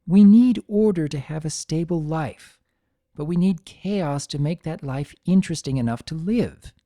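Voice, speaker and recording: A performer voicing an older mare pony, a patient mother who explains things calmly and sensibly in a storybook reading. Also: mid-range voice, unhurried, no room sound, clean studio sound, pitched above the performer's own voice, close to an everyday voice. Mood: sensible. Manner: calm, sensible, gently teaching.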